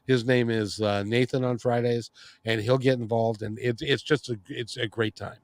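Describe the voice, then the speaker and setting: A man's voice: medium pitch, steady tone, moderate pace, no room sound, clean studio sound. A middle-aged man hosting a podcast, talking casually and rambling, with frequent restarts. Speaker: podcast and radio show host. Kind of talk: casual interview chat.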